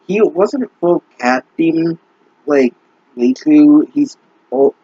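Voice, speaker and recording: Young man, exasperated commentator, fairly high voice, dry close recording, muffled. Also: animated, slow, dark tone, slightly rough, no room sound. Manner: sarcastic, exasperated, swears often. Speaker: fanfic reader and commentator. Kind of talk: mocking commentary on a bad story. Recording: dull lo-fi dry mic.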